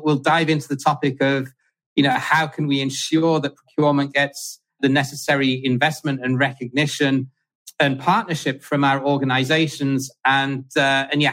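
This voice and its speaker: A man's voice: on the high side, a bit bright. Conversational male podcast host. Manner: conversational, restarts phrases, enthusiastic. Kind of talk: livestream interview.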